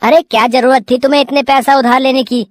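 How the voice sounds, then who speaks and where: A woman's voice: sits high, steady tone, fairly quick, no room sound, studio quality, close to an everyday voice. Woman voicing a spoiled, whiny teenage son. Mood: angry, blaming. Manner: petulant, complaining.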